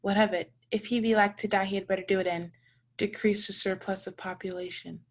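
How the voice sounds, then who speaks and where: Casting: young actress as solemn, scornful ghostly judge, mid-pitched, declamatory, for radio drama. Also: female voice, steady tone, brisk, close to an everyday voice. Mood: grave, scornful. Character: stern, solemn Christmas spirit, scornful judge of men. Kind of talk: radio play acting.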